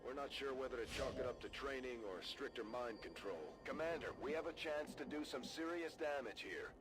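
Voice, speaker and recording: A man's voice: mid-range voice, fairly quick, over music, natural voice. An actor playing an alert male tactical officer, advising his commander in an urgent, clipped military manner in a video game. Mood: focused, tactical. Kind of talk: video game radio briefing acting. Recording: game audio with clear background noise.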